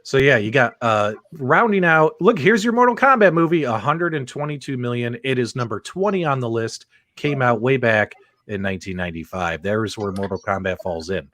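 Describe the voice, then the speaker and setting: A man hosting a casual panel show, excitable and chatty as he addresses his co-hosts and audience. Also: mid-range voice, normal speed, no room sound. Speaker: host of a video game podcast.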